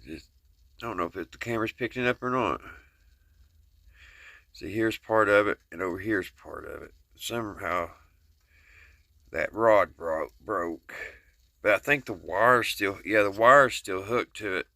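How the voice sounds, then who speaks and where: Low-voiced Kentucky Southern man, folksy. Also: measured pace, gravelly, pauses often, pushed and bright, no echo. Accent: Kentucky Southern American. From Kentucky, United States. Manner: folksy, plainspoken, addresses viewers as guys. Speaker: host of a hobby repair video channel. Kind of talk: casual rambling hands-on vlog talk.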